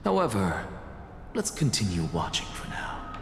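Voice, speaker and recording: An actor playing a self-assured, analytical scholar in a video game, musing in a deliberate, theatrical way. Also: male voice, lowish voice, wildly swooping pitch, music in the background, full character voice. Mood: musing, skeptical. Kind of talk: dramatic video game voice acting. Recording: very echoey hall with clear noise.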